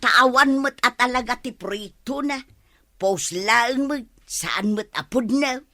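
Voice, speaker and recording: A woman's voice: fairly high pitch, lively intonation, slow, pushed and bright, full character voice. A woman with an Ilocano accent playing a sassy, skeptical villager in a radio comedy drama, grumbling as she talks.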